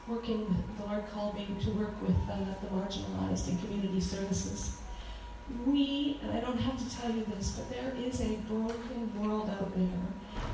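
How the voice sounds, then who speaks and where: A woman in her forties with a loud voice, giving a fervent talk as a guest speaker at a church, with frequent ums. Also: medium pitch, background noise. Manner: earnest, fervent, with many ums. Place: church hall. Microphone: handheld microphone. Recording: echoey hall from far off.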